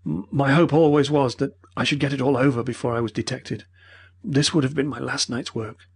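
A man reading an old male professor who speaks in a breathless, earnest, pleading way, with a husky voice. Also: mid-range voice, a little fast. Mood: shaken, pleading.

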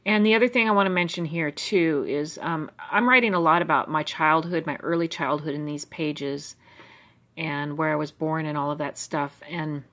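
A middle-aged American woman with a low voice talks over her old diaries. She drifts from thought to thought and fills the gaps with ums and you-knows. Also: normal speed, a bit bright. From United States. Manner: musing, stream of consciousness, many fillers. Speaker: vlogger reading her old journals. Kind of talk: rambling personal commentary.

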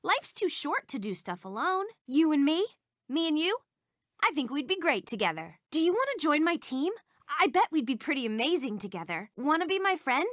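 An actress voicing an eager, awkward, bubbly young princess in a video game, with a perky, rambling, self-conscious manner. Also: female voice, high voice, very animated, normal speed, a bit bright, no room sound, studio quality. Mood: friendly, hopeful. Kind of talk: animated video game character voice clips.

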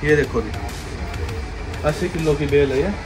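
A young man giving a repetitive, pushy sales pitch for wholesale coats in a big indoor warehouse, filmed on a handheld phone. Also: moderate pace, over music. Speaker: wholesale clothing seller. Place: large indoor clothing warehouse.

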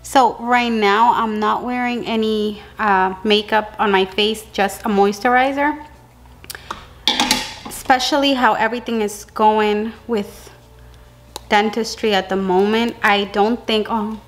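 A woman's voice: medium pitch, steady tone, measured pace. A young woman, a dental hygienist, chatting casually to her viewers in a vlog from her car and living room, talking close to the camera. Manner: chatty, addresses viewers as baby girl. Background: soft background music.

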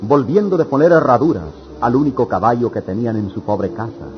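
A man narrates a radionovela from a script. His voice is mid-pitched, in a dull recording with faint music behind it. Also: brisk.

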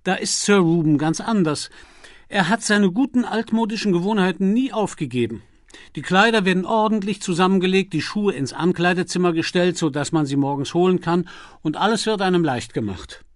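A man's voice: high voice, fairly quick, dry recording, studio quality, voice pushed up, lightly acted. A man voicing a proper, loyal manservant in an audiobook, stiff, formal and deferential. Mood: earnest, loyal. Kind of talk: audiobook character acting.

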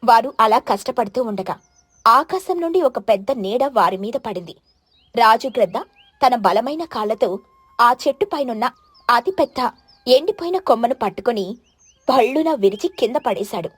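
A woman's voice: fairly high pitch, animated, normal speed, slightly rough, dry recording. A woman narrator reading a scripted children's story.

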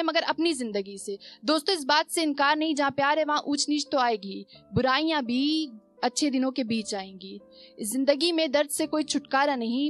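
A young woman recites spoken word poetry into a stand mic on a small stage, her voice high. She repeats lines for drama, lifts toward questions and slows into soft refrains. Faint music plays under her. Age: young adult. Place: small stage in a performance venue.

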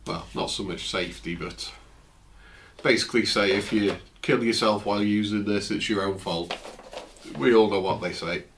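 A man in his fifties, croaky from a flu, chatting casually through an unboxing in his home office, recorded on a camera's built-in mic. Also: lowish voice, normal speed, a little hiss or hum. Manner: relaxed, chatty, trails off. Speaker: tech vlogger. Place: home office, indoors, seated at a desk.

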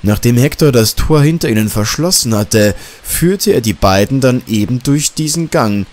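A man's voice: mid-range voice, lively intonation, moderate pace, darkish tone. A man reading a scripted horror story as an audiobook narrator.

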